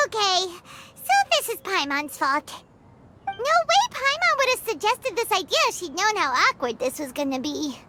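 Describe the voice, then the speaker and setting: A woman voicing a small, excitable childlike fairy companion in an anime-style video game, dramatic and exclamatory. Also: very high voice, unhurried, background noise, full character voice. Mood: awkward, sheepish. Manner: exclamatory, refers to self in third person. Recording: music behind the voice.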